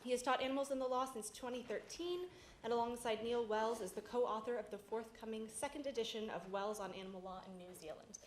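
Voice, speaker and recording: A woman introducing a guest speaker at an event in a brisk, formal way. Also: on the high side, fairly even intonation. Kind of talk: spoken introduction. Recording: roomy, faint room noise, off-mic.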